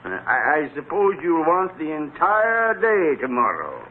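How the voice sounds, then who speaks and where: Grumbly old miser boss, curt n scornful, voice swings all over, tinny old radio sound. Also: male voice, fairly high pitch, very animated, a little slow. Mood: grudging. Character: miserly, sour, bullying old employer. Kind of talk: 1930s radio drama acting. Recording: noisy, tinny old radio broadcast recording.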